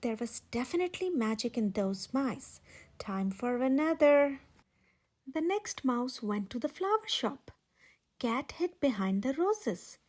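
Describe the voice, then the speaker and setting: High, animated woman reading a children's story. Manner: expressive, dramatic emphasis with drawn-out words. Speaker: children's storybook reader and channel host. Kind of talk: animated children's read-aloud narration.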